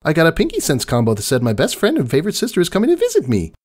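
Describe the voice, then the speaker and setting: Man putting on a high-pitched young cartoon girl pony. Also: wildly swooping pitch, darkish tone. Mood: bubbly, excited. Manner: gushing, exclamatory, rapid wordy chatter. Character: hyper, bubbly young female pony hiding grief.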